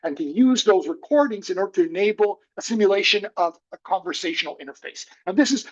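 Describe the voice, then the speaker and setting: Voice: medium pitch, expressive, quick pace, highs cut off. A thoughtful academic presenter speaking hesitantly with many fillers during an online panel over a video call.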